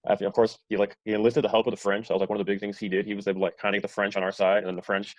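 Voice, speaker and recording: A man's voice: lowish voice, level tone, rapid-fire, no room sound. A young male college student answering in class, casual and hedging, full of fillers. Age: young adult.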